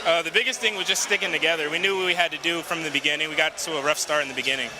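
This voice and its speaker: A man's voice: on the high side, steady tone, racing, noisy background. A young man basketball player answering postgame questions modestly, with many fillers. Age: young adult. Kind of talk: postgame interview.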